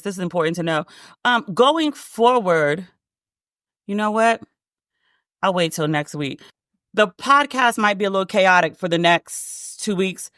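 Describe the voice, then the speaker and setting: A woman with a deep voice hosting a casual solo podcast, telling a story and acting out the dialogue, with "like" all through her speech. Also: fairly bright tone, dry recording, clean studio sound. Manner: chatty, heavy use of "like", acts out quoted dialogue. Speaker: podcast host.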